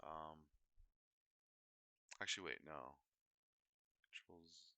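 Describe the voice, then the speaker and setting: A man's voice: very deep voice, slow pace, sharp tone, dry recording. A man livestreaming in a rambling, unscripted way, often hesitating, digressing and correcting himself mid-thought. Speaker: livestream host.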